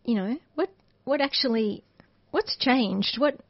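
A woman with an Australian accent and a soft voice, co-hosting a community radio talk show in the studio and chatting loosely with restarts and fillers. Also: fairly high pitch, a little slow, highs cut off. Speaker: radio co-host.

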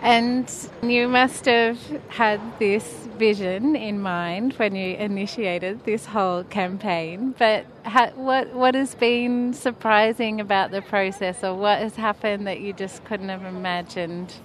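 A woman's voice: mid-range voice, fairly even intonation, slow pace, few pauses, slight background noise. A woman acting as interviewer, introducing a guest and asking questions on location.

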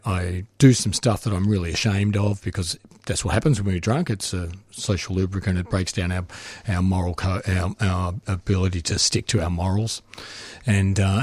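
A man's voice: deep voice, a little mellow, dry recording. A middle-aged man talking as a guest, speaking frankly and reflectively about himself in an open conversation. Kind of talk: candid conversation.

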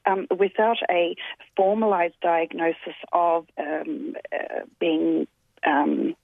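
A woman's voice: on the low side, slow, vocal fry, no room sound. A woman in her forties, a guest talking thoughtfully with many fillers and restarts, over a call. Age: 40s. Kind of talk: reflective interview talk. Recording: tinny phone-like line, dry.

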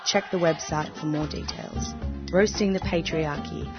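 Female promo voice, slightly low, lo-fi recording. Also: unhurried. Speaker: station promo voice. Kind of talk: scripted radio promo. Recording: dull lo-fi audio with loud music.

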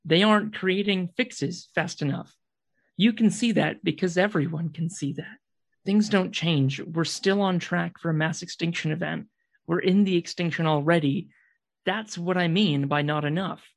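A man's voice: a little high, normal speed, vocal fry. Man acting a distraught, intense young radical. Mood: accusing, insistent. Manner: intense, insistent, accusing. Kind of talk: radio drama acting.

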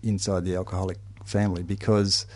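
A man's voice: deep voice, little pitch movement, fairly quick. A man hosting a conversational discussion, sharing his own thoughts and putting questions to guests. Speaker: host leading a discussion.

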